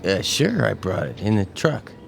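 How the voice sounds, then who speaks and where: A man's voice: fairly low pitch, very animated, moderate pace, creaky, noisy background, heavily acted. An actor playing an easygoing worker in his twenties, slangy and teasing, in a radio drama. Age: 20s. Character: easygoing twenty-two-year-old male worker, cheeky and slangy.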